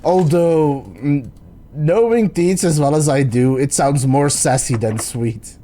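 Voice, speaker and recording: A man's voice: on the high side, takes it slowly, dark tone, a little hiss or hum. A man with a Belgian accent, chatting casually and thinking aloud while he streams a puzzle game. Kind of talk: casual chat while gaming.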